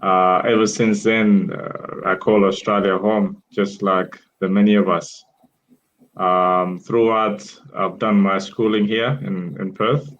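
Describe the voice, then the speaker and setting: A man in his thirties from Ethiopia, a guest in an online interview, speaking in a measured way with fillers over a video call. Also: lowish voice, little pitch movement, a bit bright. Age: 30s. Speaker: guest entrepreneur. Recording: dull lo-fi call audio.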